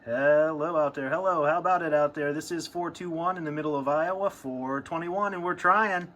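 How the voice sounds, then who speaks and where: A man's voice: on the high side, moderate pace. A man giving a casual hands-on demo and calling out as a CB radio hobbyist. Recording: clear background radio noise.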